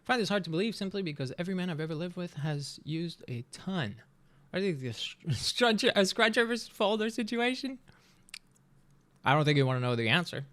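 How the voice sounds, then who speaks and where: A man in his thirties chatting casually on a live stream, rambling and joking as he reacts to a video, his voice swinging between loud and soft. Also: high voice, lively intonation, normal speed.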